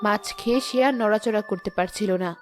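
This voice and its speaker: A woman's voice: mid-range voice, fast. Female cartoon narrator, scripted storytelling, music behind.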